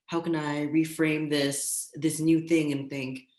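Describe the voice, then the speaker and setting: A woman's voice: low voice, flat delivery, slow, a little mellow, reverberant. A woman facilitating a live community event, speaking enthusiastically with many ums. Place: street corner outdoors.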